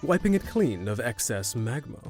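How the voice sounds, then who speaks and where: A man's voice: mid-range voice, very animated, normal speed, a little mellow. Male fantasy narrator over music. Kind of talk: scripted fantasy story narration, present tense. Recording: music under the voice.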